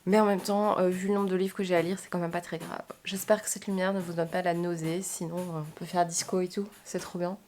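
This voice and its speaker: A woman's voice: on the low side, little pitch movement, fairly quick, hardly any pauses, a little mellow, a little hiss or hum. A woman book vlogger from Belgium chatting casually to camera in a self-deprecating way.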